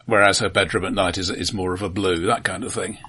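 A man co-hosting a casual film discussion in a measured, halting, thoughtful way. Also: deep voice, fairly even intonation, bright, forceful tone, husky. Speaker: film podcast co-host.

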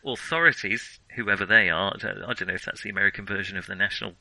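A man with a strikingly bright, sharp voice on the lower side, recorded very dry. He talks casually about film as a podcast host, wandering through his thoughts in an amused, conversational way. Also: steady tone, quick pace, gravelly, dry recording, rough recording.

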